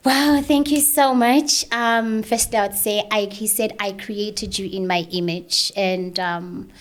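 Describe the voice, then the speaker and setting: A woman's voice: medium pitch, fairly even intonation, takes it slowly. Earnest woman. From Zambia. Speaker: interview guest. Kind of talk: personal testimony in conversation.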